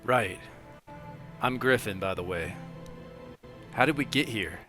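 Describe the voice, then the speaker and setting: Low-pitched recruit hero, voiced by a man, music behind. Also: slow pace, sharp tone. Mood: confused. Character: young male recruit soldier hero, curious, grateful. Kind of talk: amateur video game protagonist dub.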